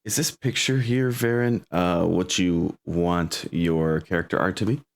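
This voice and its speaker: Deep-voiced man chatting casually. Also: measured pace. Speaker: game master and host. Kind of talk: casual chat before online tabletop game stream.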